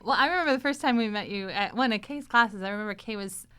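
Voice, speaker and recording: A woman with a clear voice, chatting casually as a podcast host in a playful, prompting way. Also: on the high side, fast, a bit bright. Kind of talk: casual chat.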